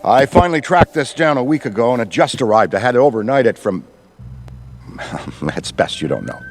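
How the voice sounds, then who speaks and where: An actor playing Ray, an enthusiastic middle-aged veteran ghostbuster who rambles in wordy, professorial speech. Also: male voice, mid-range voice, expressive, brisk, a little hiss or hum, close to an everyday voice, poor audio. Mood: lively. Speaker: veteran mentor. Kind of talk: video game cutscene voice acting.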